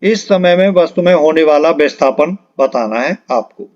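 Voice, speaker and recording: A man's voice: fairly high pitch, animated, normal speed, darkish tone, lo-fi sound. A man teaching an exam-preparation lesson step by step, explaining patiently and repeating key terms as he works through questions.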